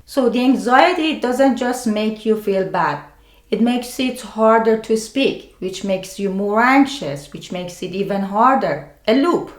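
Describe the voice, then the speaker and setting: A woman with a non-native English accent gives scripted self-help advice as a video host, speaking deliberately in short phrases with pauses. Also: a little high, animated. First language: a language other than English. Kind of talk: scripted personal explainer to camera. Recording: roomy, echoing sound.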